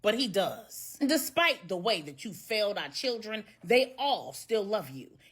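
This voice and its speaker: A fearless older American grandmother in an audio story, acted out by a woman. She is blunt, sassy and fed up, with a husky edge. Her pitch swings hard as she leans on her words. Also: fairly bright tone. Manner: sassy, blunt, emphatic street talk.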